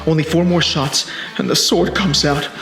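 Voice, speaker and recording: An actor playing a commanding, cocky time traveler in an action sci-fi film, terse and insistent. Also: male voice, a little high, steady tone, normal speed, a bit bright. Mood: urgent, commanding. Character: urgent male time-traveling protector from the future, commanding and cocky. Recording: roomy sound with music behind.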